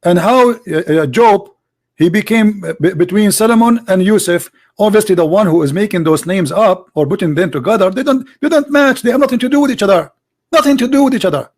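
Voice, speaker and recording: High-voiced man debating forcefully. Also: brisk. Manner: forceful, argumentative, repeats words. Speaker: debate host. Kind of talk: heated debate.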